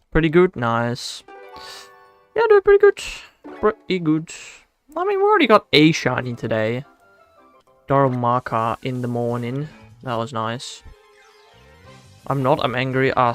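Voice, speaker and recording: A man's voice: medium pitch, sing-song, a little slow, long pauses, clean tone, soft background music. Laid-back Dutch-accented young man streamer. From Netherlands. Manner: laid-back and slangy, talks to himself. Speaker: game streamer. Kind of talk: casual livestream chat, answering chat while playing.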